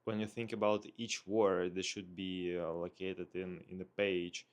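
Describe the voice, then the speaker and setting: A man with a Russian accent, a native Russian speaker, talking casually in an unscripted vlog, thinking aloud with hesitant searching pauses. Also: low-pitched, flat delivery. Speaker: vlogger.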